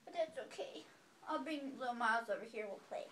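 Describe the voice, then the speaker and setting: A woman with a high, very animated voice talks to a small child during a read-aloud. Her delivery is playful and sing-song, moving casually between the story and chat. It sounds like a simple home recording. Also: a little fast, noisy background. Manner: playful, sing-song child-directed talk with dramatic gasps. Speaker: caregiver reading to a small child.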